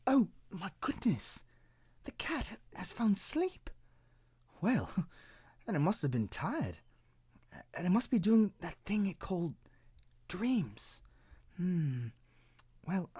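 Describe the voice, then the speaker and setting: A man voicing a high, stammering little cloud. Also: big pitch swings, takes it slowly, long pauses, darkish tone, slightly rough, no room sound, exaggerated voice. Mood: excited. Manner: stammering, breathless worry. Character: timid little male cloud, anxious and tearful.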